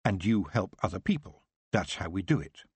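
A man voicing a sensible young witch who speaks calmly and plainly, reasoning things through. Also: deep voice, moderate pace, husky, no room sound, full character voice. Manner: calm, plain-spoken, reasoning.

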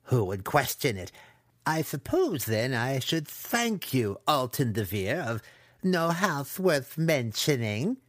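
Fantasy audiobook villain played by a man: young dark elf apprentice, mocking and resentful, pitched up, very animated. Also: measured pace, no room sound. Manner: sneering, mocking.

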